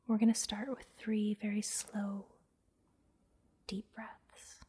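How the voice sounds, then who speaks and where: A woman softly whispering an ASMR guided meditation, giving gentle, soothing instructions with pauses. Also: mid-range voice, flat delivery. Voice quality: soft, breathy whisper. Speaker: massage therapist and meditation guide, host.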